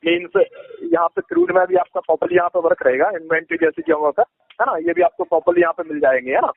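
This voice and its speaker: A man making a phone sales pitch, insistent, repetitive and evasive. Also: wildly swooping pitch, quick pace, gravelly. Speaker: advisory company agent on a sales call. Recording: tinny, echoey phone call recording.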